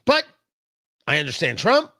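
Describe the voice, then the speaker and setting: A man hosting a talk radio show, delivering an opinionated political monologue theatrically, with emphatic repeats and dramatic pauses on a close studio mic. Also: fairly high pitch, wildly swooping pitch, gravelly, pushed and bright, no echo. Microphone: close studio microphone.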